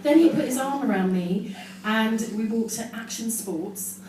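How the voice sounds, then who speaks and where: A woman's voice: a little high, animated, unhurried. Echoey woman reading aloud on stage. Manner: dramatic re-enacting with amused asides. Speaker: storyteller on stage. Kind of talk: live comic reading of own teenage diary to an audience. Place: live storytelling event venue with audience. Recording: very echoey, noisy live venue, distant mic.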